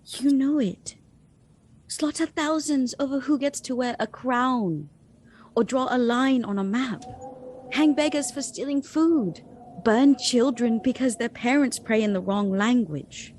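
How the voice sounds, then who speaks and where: A woman's voice: sits high, a little slow, darkish tone, slight background noise. A woman playing a passionate, defiant and seductive vampire in a radio drama, with an intense theatrical delivery. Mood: bitter.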